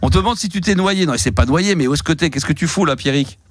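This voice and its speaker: A man's voice: on the high side, expressive, fast talker, no room sound. A man hosting a live phone-in, chatting in a teasing, jokey way on a close studio microphone. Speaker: radio phone-in host. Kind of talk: live late-night phone-in chat. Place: radio studio.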